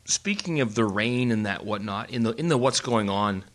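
A man's voice: on the low side, animated, moderate pace. A middle-aged man co-hosting a podcast from home, chatting casually, joking and rambling with restarts. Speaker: podcast co-host. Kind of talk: casual chat. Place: home, Germany.

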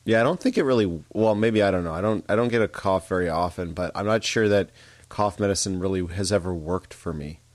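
Deep-voiced congested man, dry sound. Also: a little fast, dry recording. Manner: easygoing, short agreeing replies. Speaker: podcast co-host. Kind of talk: casual chat. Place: home, Vancouver.

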